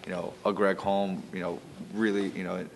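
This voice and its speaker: Deep-voiced man, arts organizer in interview, loose rambling delivery, faint background hiss. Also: level tone, moderate pace, low-quality recording. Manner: rambling, heavy use of fillers like you know and um. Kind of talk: interview answer.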